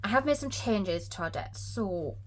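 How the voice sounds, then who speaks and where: A woman's voice: medium pitch, animated, moderate pace, poor audio. Woman, chatty and upbeat vlogger, slightly stuffy with a cold, faint hum in the room. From Northeast England. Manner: chatty, many ums, upbeat. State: sniffly with a cold. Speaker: budgeting vlogger. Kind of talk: casual vlog chat.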